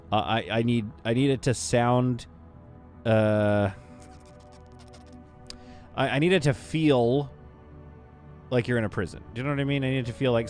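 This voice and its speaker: A Canadian man in his thirties, streaming a game from a home green-screen setup, joking with chat and talking on a boom mic. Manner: playful, jokey, lively, swings between loud bursts and quiet asides. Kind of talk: casual livestream chat while building in a game. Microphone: studio mic on a boom arm.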